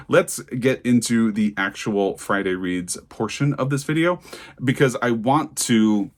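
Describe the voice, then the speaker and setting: Very low-voiced man chatting casually. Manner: conversational, digressive, self-correcting. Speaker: book vlogger. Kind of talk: casual chatty vlog to camera.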